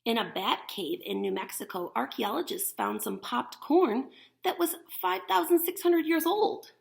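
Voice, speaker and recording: A woman's voice: a little high, lively intonation, normal speed, gravelly. A woman teacher reading a picture book aloud to young children, expressively and with simple explanations. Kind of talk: animated picture-book read-aloud with demonstration asides.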